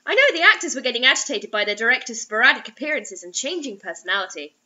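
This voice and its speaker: Young woman, high bright voice, theatrical mocking read-aloud, webcam indoors. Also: fast talker, muffled recording. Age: young adult. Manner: expressive, theatrical. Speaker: host reading bad fiction aloud. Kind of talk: dramatic mocking story reading. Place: small indoor room.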